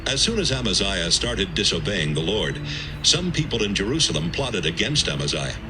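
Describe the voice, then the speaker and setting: A man's voice: low-pitched, sing-song, moderate pace, rough recording. A man giving a scripted, dramatic narration of scripture as the narrator of an audio Bible. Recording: music bed behind the voice.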